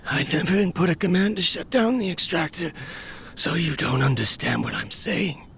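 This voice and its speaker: A man playing a desperate, remorseful researcher in a video game, with a strained, halting, weakened delivery. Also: on the high side, vocal fry, fairly bright tone, slight background noise. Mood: weak, regretful. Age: adult. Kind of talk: dramatic video game voice acting.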